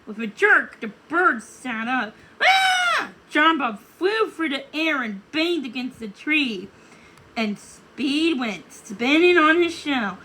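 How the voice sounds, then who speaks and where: Female storybook reader: high, animated narration, slight background noise. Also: takes it slowly, low-quality recording. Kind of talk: expressive read-aloud narration.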